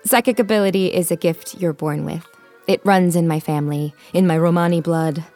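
An actress playing a young tarot-reading psychic who is confident and teasing. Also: female voice, lowish voice, fairly quick, warm tone, faint music behind, lightly acted. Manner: teasing, dry, self-assured. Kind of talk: comedic audio drama.

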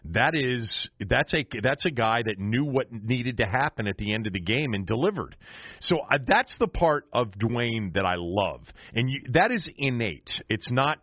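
A man's voice: medium pitch, lively intonation, moderate pace, dry recording. A man hosting conversational sports talk, restarting and repeating phrases as he works through a thought, with slightly dull audio. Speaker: sports show host.

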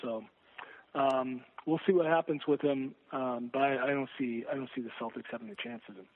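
A man gives his sports analysis as an interview guest, choosing his words haltingly and carefully. His voice sits at a medium pitch and comes through a narrow, phone-like connection. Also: creaky. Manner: hesitant, halting, measured. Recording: thin phone-like line.

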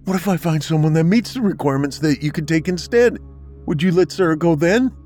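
A man voicing Jordan, a grieving young husband pleading in a high, strained voice. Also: brisk, darkish tone, soft background music, lightly acted. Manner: pleading, pitch rising.

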